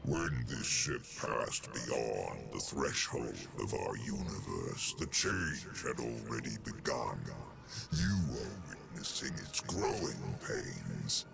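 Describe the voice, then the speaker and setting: An actor playing a huge, pompous alien emperor in a video game, savoring words with silky menace and gravelly grandeur. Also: male voice, a bass voice, big pitch swings, takes it slowly, full character voice. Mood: grandly inviting. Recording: music behind the voice.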